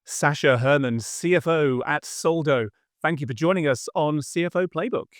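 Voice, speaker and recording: A man's voice: a little high, normal speed, no echo, studio quality. A man hosting a business podcast, introducing the show and asking questions in a friendly, conversational way. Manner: friendly, conversational, some restarts. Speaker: podcast host, interviewer.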